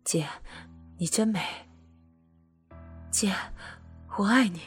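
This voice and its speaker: A woman voicing an eager younger brother, speaking in a breathy, hushed half-whisper. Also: takes it slowly, soft background music. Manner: low murmuring, half-whispered.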